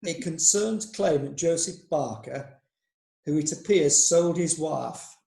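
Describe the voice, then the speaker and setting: An actor playing a deferential court clerk who hesitates before bad news. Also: male voice, a little high, expressive, slow, creaky, mellow, roomy sound, full character voice. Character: male court clerk, dutiful. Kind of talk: amateur audio drama acting.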